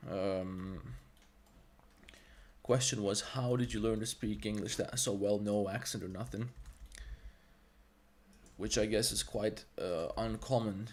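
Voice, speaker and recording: A man with a slightly low voice and a Balkan accent talks through a 3D modeling livestream. He is blunt and swears freely. His English is fluent, though his first language is Serbo-Croatian. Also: fairly even intonation, slow pace, long pauses. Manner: blunt, profane, rambling. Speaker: 3D artist and streamer. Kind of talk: livestream tutorial with chat.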